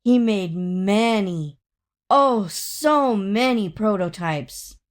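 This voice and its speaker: A woman reading a children's story aloud in an expressive, dramatic way, drawing out words for emphasis. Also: medium pitch. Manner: dramatic, with drawn-out emphasis and acted sound words. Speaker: storybook reader.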